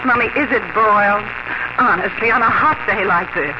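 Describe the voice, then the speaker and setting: A woman's voice: medium pitch, moderate pace, lightly acted. An actress playing an impulsive, emphatic young woman visiting her parents, in a 1950 radio drama. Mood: teasing. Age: young adult. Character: lively, bossy young woman, a city artist. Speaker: grown daughter. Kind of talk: 1950s radio drama acting. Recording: hissy lo-fi 1950 radio broadcast.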